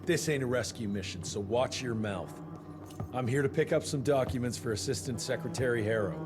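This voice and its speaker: An actor playing a gruff, blunt male vampire hunter in a video game, over music. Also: medium pitch, brisk, close to an everyday voice. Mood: cold. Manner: blunt, curt.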